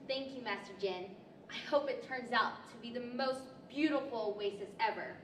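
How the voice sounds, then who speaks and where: A woman's voice: fairly high pitch, normal speed, lightly acted. A young woman playing a polite, curious girl in a radio theater style. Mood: polite, fond. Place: large library event room, live stage. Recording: distant, echoey live hall with faint noise.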